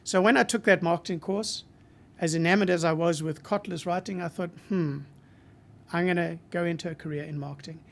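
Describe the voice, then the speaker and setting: A man in his sixties from Zimbabwe with a Zimbabwean accent, a marketing professor speaking as a guest in a podcast interview, reflective and measured with frequent hesitations. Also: high voice, fairly even intonation, vocal fry, a bit bright.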